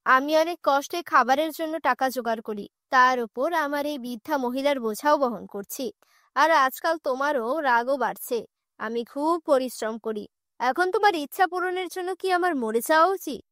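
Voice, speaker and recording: A woman plays a hard-up, weary mother in a cartoon fairy tale. She is bitter and scolding, with a higher-pitched voice in a dry recording. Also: moderate pace, a bit bright, dry recording. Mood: bitter, angry.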